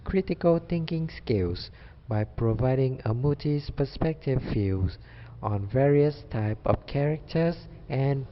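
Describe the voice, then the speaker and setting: A young man delivering a scripted student presentation. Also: mid-range voice. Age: young adult. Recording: dull audio with faint background noise.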